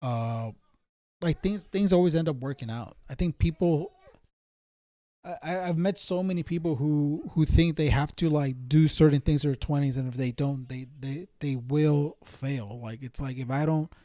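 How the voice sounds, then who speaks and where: A man's voice: on the high side, moderate pace, dark tone, no room sound. A man around thirty talking casually to viewers as a streamer, in a rambling, slangy way that often circles back and repeats. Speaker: live streamer chatting with viewers. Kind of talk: casual chat.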